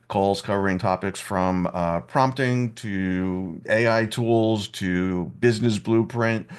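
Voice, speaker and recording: A man with a hoarse, cold-roughened voice hosting a live online Q&A call over video, talking in a relaxed, chatty way with frequent filler sounds. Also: lowish voice.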